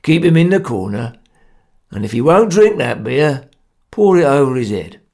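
A man voicing a grim, stern male ringleader, talking gruffly. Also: medium pitch, very animated, normal speed, a little mellow. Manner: gruff, severe, matter-of-fact.

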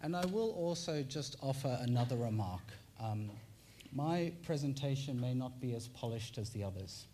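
A man's voice: medium pitch, animated, warm tone, slight background noise. Formal South African man presenting. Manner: measured and formal, with rhetorical repetition. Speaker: tax clinic presenter. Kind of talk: conference presentation.

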